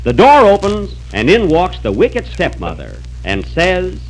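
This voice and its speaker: An actor playing a folksy, meddling storyteller who addresses the audience, in 1940s radio comedy style. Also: male voice, high-pitched, wildly swooping pitch, measured pace, loud music behind the voice, full character voice. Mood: storytelling. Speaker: narrator. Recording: distant-sounding old radio recording.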